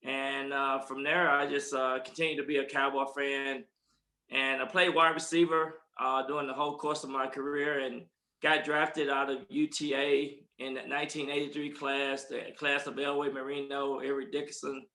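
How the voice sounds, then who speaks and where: Older man with a bright voice and relaxed, stop-start answers, recorded in an echoey room. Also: medium pitch. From East Texas, USA. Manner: relaxed and halting, with repeated words and fillers. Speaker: guest, former football player. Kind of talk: interview answers.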